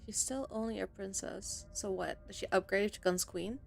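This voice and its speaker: Creaky-voiced woman playing a game. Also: mid-range voice, brisk. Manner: sarcastic, talks back to the game. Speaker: gaming streamer. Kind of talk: casual let's-play commentary. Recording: faint game music behind.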